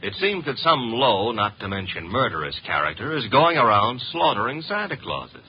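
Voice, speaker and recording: An actor playing a wry, flippant detective's assistant and narrator in 1950s radio drama style. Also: male voice, medium pitch, very animated, normal speed, lightly acted. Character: wisecracking, cheeky legman to a detective, narrates the case. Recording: muffled, hissy old radio recording.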